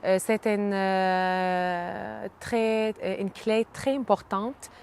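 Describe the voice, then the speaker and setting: Syrian woman, 30s, Arabic native, hesitant interviewee with frequent fillers, mid-pitched, faint noise. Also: fairly even intonation, creaky, a little mellow. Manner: hesitant, many pauses and "euh" fillers. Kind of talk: casual interview.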